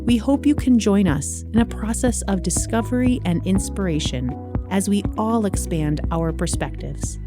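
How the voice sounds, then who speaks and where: A woman reading a scripted show introduction in a measured, polished way. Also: medium pitch, lively intonation. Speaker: show announcer. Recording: intro with music bed. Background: music.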